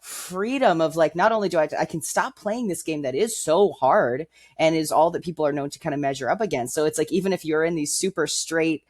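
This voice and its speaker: A man's voice: fairly high pitch, fairly quick, few pauses. A man co-hosting a podcast who talks reflectively, thinking aloud with fillers and working through an extended analogy. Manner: thoughtful, uses fillers, builds ideas through analogy. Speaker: podcast co-host. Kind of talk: reflective casual conversation.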